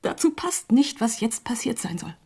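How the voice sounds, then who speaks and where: An actress playing a grieving, doubting woman in a radio drama, speaking haltingly with a breathy, husky voice. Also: fairly high pitch, big pitch swings, whispered, heavily acted. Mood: subdued, insistent. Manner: hesitant, halting, hushed. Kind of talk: naturalistic radio drama acting.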